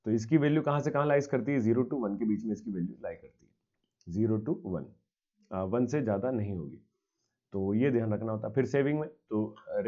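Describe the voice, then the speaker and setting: A man's voice: lowish voice, warm tone, lo-fi sound. A male commerce teacher giving a recorded exam-prep lecture, explaining step by step and answering his own questions. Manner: mixes English terms into his speech.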